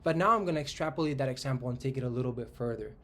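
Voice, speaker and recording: A man in his twenties from Spain talks through an idea in a casual solo monologue. He thinks out loud as he goes, restarts his sentences and often tags them with "right?". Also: mid-range voice, very fast. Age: 20s. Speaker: tech commentator.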